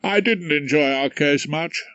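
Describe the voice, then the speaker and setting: A man's voice: on the high side, a little slow, a bit bright, no echo, dull audio, pitched above the performer's own voice. A man voicing a priggish young male barrister who speaks in a prim, grudging way.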